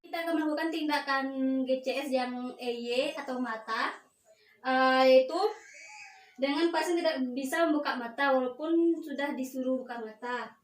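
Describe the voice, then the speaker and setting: A young woman gives a step-by-step instructional demonstration as a student, with halting, hesitant delivery. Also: sits high. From Indonesia. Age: young adult. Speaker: nursing student giving a practical demonstration. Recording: echoey room, distant mic, faint noise.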